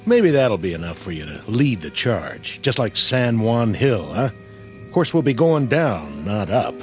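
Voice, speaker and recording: An actor playing a hard-bitten, sarcastic army sergeant who needles people, in a radio drama. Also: male voice, on the low side, sing-song, measured pace, a little hiss or hum. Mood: mocking. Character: hard-bitten, sardonic army sergeant baiting his lieutenant.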